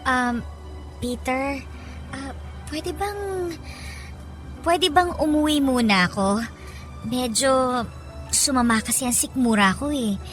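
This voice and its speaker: A meek young woman in a radio drama, with a high voice and slow, hesitant delivery. She is polite and deferential, with faint music behind her. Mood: hurt, uneasy. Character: meek, timid young mother, polite girlfriend. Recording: music under the voice.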